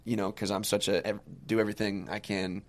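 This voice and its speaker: A young man with a low voice, chatting as a musician guest on a talk show. He talks softly and hesitantly, with many fillers and restarts. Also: flat delivery, fairly quick. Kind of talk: casual interview chat.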